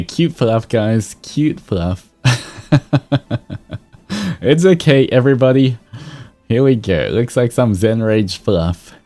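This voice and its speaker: A man hosting a livestream, moving between reading fan fiction and chatting casually with viewers. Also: on the low side. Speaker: VTuber streamer host. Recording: soft music behind. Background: background music.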